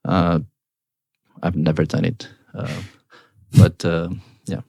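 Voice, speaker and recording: A startup co-founder in his thirties, a man from Argentina, speaks in a very deep, gravelly voice. He talks haltingly, filling gaps with ums and restarting his sentences. The sound is dry and close. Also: little pitch movement, mellow, dry recording. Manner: hesitant, many ums and restarts. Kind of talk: casual interview answers.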